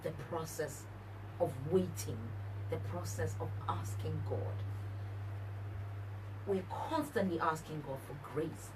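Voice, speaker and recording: A middle-aged woman with a notably low voice tells anecdotes in a relaxed, candid way, acting out bits of dialogue. She sits a bit back from the microphone in a radio studio, with a clear layer of background noise. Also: slow pace, long pauses. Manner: laughs often, tells anecdotes with quoted dialogue. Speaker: gospel singer as interview guest. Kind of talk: candid, animated conversational storytelling. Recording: distant mic with audible background noise.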